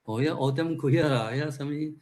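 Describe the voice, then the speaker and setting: A man's voice: a little high, a little fast, a little mellow. A man with a Taiwanese accent giving an informal talk at a forum, sharing observations conversationally and posing rhetorical questions. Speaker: speaker at a literature forum.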